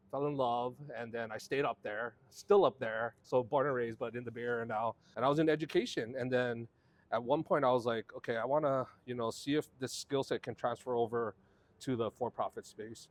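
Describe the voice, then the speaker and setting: A man. He tells his story in a relaxed, meandering way, full of "um"s and restarts. His voice sits at an ordinary middle pitch. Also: normal speed, no room sound. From Hawaii. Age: 40s. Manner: relaxed, rambling storytelling with many fillers and restarts. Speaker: guest. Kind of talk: casual conversational interview.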